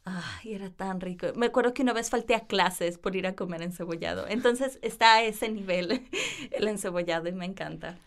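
A woman's voice: medium pitch, brisk. A young woman, chatting cheerfully as a guest on a student podcast interview. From Ecuador. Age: 20s. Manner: cheerful, laughs often, fillers like "um". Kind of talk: casual interview chat.

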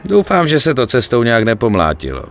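A male actor in a dubbed video game, playing an excitable, greedy gangster sidekick who swears and wheedles. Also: medium pitch, soft background music. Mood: flustered.

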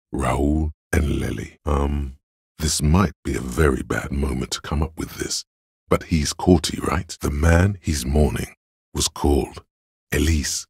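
An actor playing a cold, analytical inner voice of logic in a game, husky and gravelly, speaking in deliberate, clipped, instructive statements. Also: male voice, extremely low pitch, darkish tone, no echo, clean studio sound, full character voice. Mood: probing. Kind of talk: dramatic second-person game narration.

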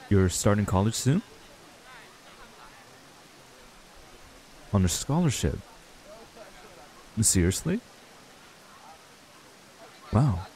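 A man acts out a wistful young man in an intimate ASMR roleplay. His voice sits low and has a dark, relaxed tone. He talks in a laid-back, casual and slightly hesitant way, as to an old childhood friend. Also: rough recording. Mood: impressed.

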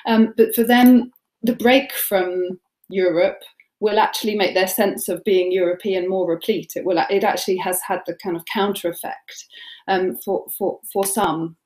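A woman's voice: mid-range voice, steady tone, moderate pace, a little mellow. Hesitant woman moderator in an echoey room. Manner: thoughtful, hesitant, many restarts. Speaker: panel moderator. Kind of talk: moderating a panel discussion. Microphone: video call. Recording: echoey room on video call.

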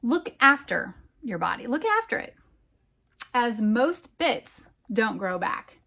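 A middle-aged American woman reading a picture book aloud to young children, with emphatic, deliberate phrasing and chatty asides. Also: fairly high pitch, very animated, bright, forceful tone. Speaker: children's storytime reader, teacher.

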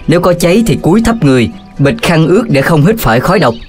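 Encouraging Southern Vietnamese firefighter, lightish pitch. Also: male voice, sing-song, fast, soft background music. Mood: kindly, instructive. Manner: encouraging, instructive. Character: kindly male firefighter instructing children. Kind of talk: children's cartoon voice acting.

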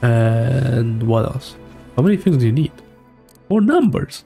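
A man's voice: mid-range voice, big pitch swings, unhurried, vocal fry, mellow, soft background music. A young man streaming a game from a small room, reacting casually in a rambling, muttering, playfully exasperated way. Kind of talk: casual live gameplay reaction.

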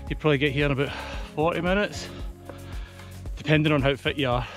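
A man's voice: fairly high pitch, fast, faint music behind. Slightly breathless Scottish man chatting outdoors. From Scotland. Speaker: hiking vlogger. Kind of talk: casual vlog chat. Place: outdoors on an open mountainside.

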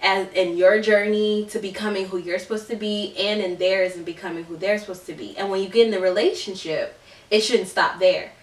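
A woman's voice: medium pitch, steady tone, brisk. A young woman speaks earnestly and at length in a casual chat among friends on a couch. She sits away from the camera mic, so her voice sounds distant and roomy. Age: young adult. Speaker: guest. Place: living room, group on a couch. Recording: echoey room, distant mic.

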